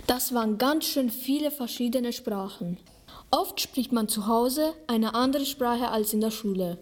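Teen girl, school radio host; fairly high voice, scripted reading. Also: a little slow. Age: teens. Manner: reads clearly from a script. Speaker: student radio presenter.

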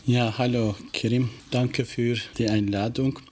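A man whose first language is Albanian, a teacher answering interview questions in a halting way, often searching for words and restarting sentences. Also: medium pitch, steady tone, darkish tone, slight background noise. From former Yugoslavia.

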